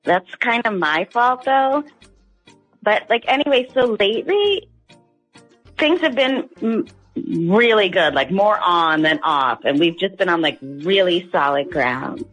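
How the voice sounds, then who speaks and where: A woman's voice: mid-range voice, lively intonation, unhurried, a bit bright, faint music behind, rough recording. A woman calling in to a radio show, chatting casually in a hesitant, rambling way full of fillers. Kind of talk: casual chat.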